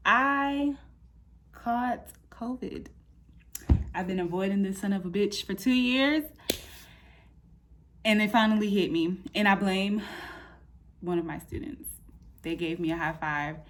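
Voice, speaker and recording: A woman's voice: mid-range voice, slight background noise. A woman of thirty giving a casual personal update, talking in a candid, informal, slangy way. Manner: candid, informal, slangy, animated retelling with quoted asides. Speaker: vlogger. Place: apartment room, indoors.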